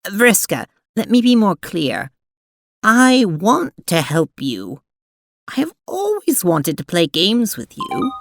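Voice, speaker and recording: A woman playing a prim, earnest alien seamstress in an audio drama, with formal, precise, deliberate diction. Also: medium pitch, sing-song, dry recording. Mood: polite, eager. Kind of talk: audio drama acting and narration.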